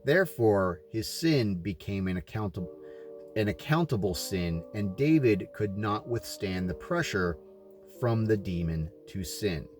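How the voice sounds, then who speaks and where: Man, slightly deep voice, very slow pace, religious audiobook narration, halting reading, faint music behind. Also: expressive, darkish tone. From United States. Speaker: audiobook reader.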